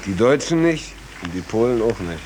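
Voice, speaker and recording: A weary, plain-talking stateless seaman in forties radio drama style. His voice is fairly low and colloquial, resigned to his lot. The vintage recording has slight noise. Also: sing-song, moderate pace, a little mellow, rough recording. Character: world-weary, wry stateless stoker's mate. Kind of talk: 1940s German radio drama acting.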